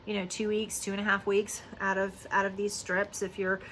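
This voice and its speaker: A woman's voice: medium pitch, completely flat delivery, moderate pace, fairly bright tone, slight background noise, poor audio. A woman in her fifties talking casually to the camera, chatty and confiding, with lots of fillers like "you know" and "um". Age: 50s. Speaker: lactation consultant. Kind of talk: casual personal vlog talk.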